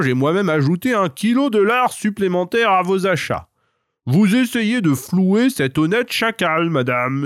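A man's voice: fairly high pitch, dry recording, studio quality, pitched above the performer's own voice. A man voicing a greedy, lying fox, smug and theatrical, in a children's tale.

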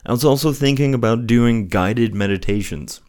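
A man with a soothing voice speaking in an earnest, gently encouraging way, as the host of a personal faith show. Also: mid-range voice, normal speed. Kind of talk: casual reflective solo talk with scripture reading.

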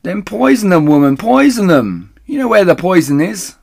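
Shrill, bossy farmer's wife. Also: male voice, big pitch swings, normal speed, creaky, full character voice. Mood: impatient. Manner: shrill, scolding shouts. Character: bossy, impatient farmer's wife calling from upstairs.

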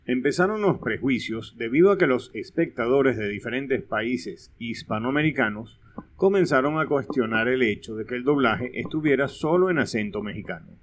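A man with a Venezuelan accent giving scripted educational narration in a measured, explanatory way. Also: mid-range voice.

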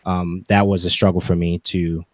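A man in his forties talking reflectively as a podcast guest, with halting, deliberate phrasing. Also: sits low, little pitch movement, dry recording, clean studio sound. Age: 40s. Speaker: podcast guest, gaming content creator. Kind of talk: reflective interview talk.

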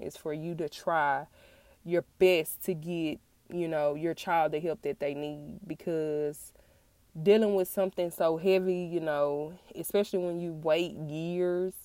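Woman, low-pitched, raspy, stuffed-up from illness, earnest personal monologue, halting pauses, dry close sound. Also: mellow, no echo. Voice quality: congested-sounding. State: getting over a flu-like illness. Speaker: podcast host and author.

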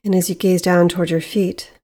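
Mellow, low-pitched woman guiding meditation. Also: level tone. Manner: soothing, measured, with long pauses. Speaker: meditation guide, podcast host. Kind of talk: guided meditation narration.

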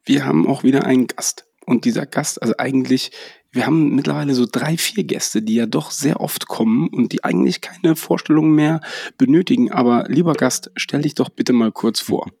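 A man's voice: medium pitch, normal speed, creaky, a little mellow, breathy edge, no echo, studio quality. A man with a cold hosting a casual podcast chat, hesitant and full of fillers. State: has a cold. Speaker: podcast host. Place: holiday house.

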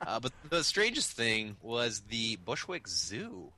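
A young man on a remote call, hoarse from a cold, chatting loosely as a podcast co-host. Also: mid-range voice, wildly swooping pitch, takes it slowly, bright, forceful tone, slight background noise. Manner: rambling, laid-back. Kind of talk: casual chat. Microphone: remote call over the internet.

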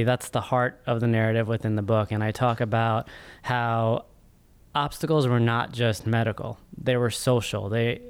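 A man in his thirties telling his own story as an interview guest, earnest and measured, halting between phrases. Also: mid-range voice, smooth. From born in Egypt, immigrated as a small child. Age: 30s. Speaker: podcast guest, first-time author. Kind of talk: interview answers, personal storytelling.

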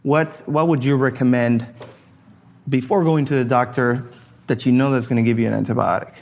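A man's voice: medium pitch, steady tone, normal speed, gravelly, darkish tone. A man from Argentina hosting an interview, chatty and agreeable, with lots of restarts as he asks questions. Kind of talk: casual interview chat.

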